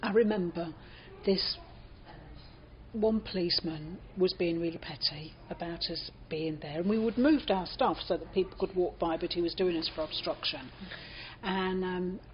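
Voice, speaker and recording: A woman's voice: lowish voice, normal speed, slight background noise. An older woman with a British accent reminisces in an interview, telling anecdotes reflectively and voicing what people said. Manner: anecdotal, reflective, acts out reported speech. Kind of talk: reminiscing in an oral history interview. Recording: dull, muffled lo-fi recording.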